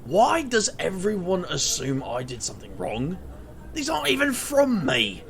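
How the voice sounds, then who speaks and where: An actor playing a scatterbrained, playful, good-natured boss in a fantasy audio drama, stammering and jokey, with a gravelly edge. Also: medium pitch, wildly swooping pitch, unhurried, a bit bright, exaggerated voice. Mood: sheepish. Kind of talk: audio drama character acting. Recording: faint noise.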